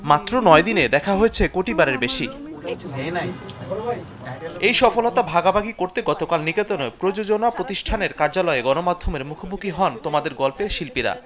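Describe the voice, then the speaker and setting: A man's voice: high voice, lively intonation, fairly quick. A man reading a scripted entertainment news report as a television reporter. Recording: background music under voice-over. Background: music.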